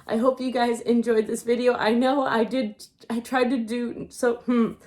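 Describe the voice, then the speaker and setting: A woman's voice: a little high, flat delivery, normal speed, a little mellow. A woman vlogging a casual book haul, chatty and rambling, losing her voice and often unsure of the details.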